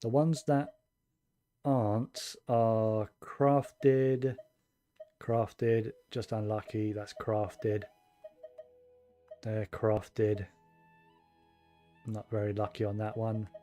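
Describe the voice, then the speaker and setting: A man chatting casually on a game livestream, rambling and thinking aloud in a low-key way. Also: little pitch movement, very slow, long pauses, dark tone. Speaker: game streamer. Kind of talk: casual livestream chat over gameplay. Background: game music.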